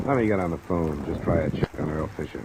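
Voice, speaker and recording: An actor playing a blunt, unflinching American insurance investigator, clipped and matter-of-fact, in the style of 1950s radio detective drama. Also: male voice, rumbling low, quick pace. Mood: matter-of-fact narration. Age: young adult. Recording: old radio recording under loud rain noise. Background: loud rain.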